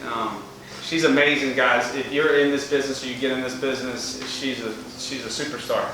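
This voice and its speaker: A man hosting a live event who introduces the next speaker in a rambling, halting way with many fillers. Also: medium pitch, fairly even intonation, sharp tone. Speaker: event host. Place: large echoey event room. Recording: echoey room, distant mic, faint noise.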